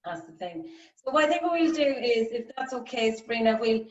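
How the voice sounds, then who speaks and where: A woman's voice: a little high, very animated, a little fast. A woman facilitating an online workshop, chatty and rambling with many ums and likes. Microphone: video call. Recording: distant, roomy, lo-fi call audio.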